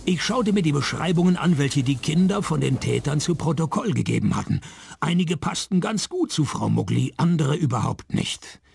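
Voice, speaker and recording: A man playing a dry, sardonic private detective in a radio play, speaking deadpan. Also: fairly high pitch, moderate pace, gravelly, slight background noise, heavily acted. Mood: deadpan, wry. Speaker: narrator and lead character of a radio play. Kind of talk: radio play noir narration and dialogue.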